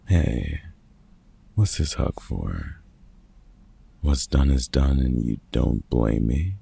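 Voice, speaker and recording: A man acting out a gruff, sarcastic older vampire hunter who mentors with grumpy care, husky and dryly teasing, in an audio roleplay. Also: a bass voice, animated, slow pace, warm tone, exaggerated voice. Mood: remorseful, soft. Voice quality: gravelly, husky. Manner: dry, teasing, sardonic.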